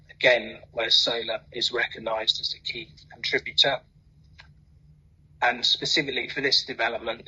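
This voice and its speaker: A man reading out a formal report as a planning officer, dry and measured. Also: medium pitch, bright, forceful tone, slightly rough, dull audio. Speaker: planning officer presenting to committee. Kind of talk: formal report read aloud.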